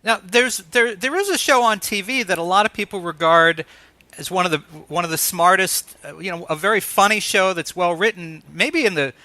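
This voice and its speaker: Middle-aged male host, high voice with a dry close sound, feeling his way through conversational interview questions. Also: moderate pace, bright, forceful tone, dry recording.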